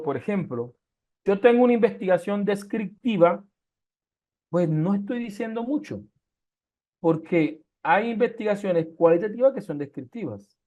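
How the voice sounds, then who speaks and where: A man's voice: high-pitched, darkish tone. A man gives an online lecture on research methods. He works through everyday comparisons and asks his students rhetorical questions as he goes. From Colombia.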